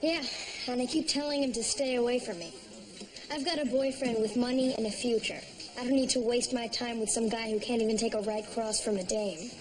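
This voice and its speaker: An actress playing a sassy, wisecracking 1940s nightclub singer in a television sitcom. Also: female voice, a little high, fairly even intonation, brisk, close to an everyday voice, low-quality recording. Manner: wisecracking, brassy.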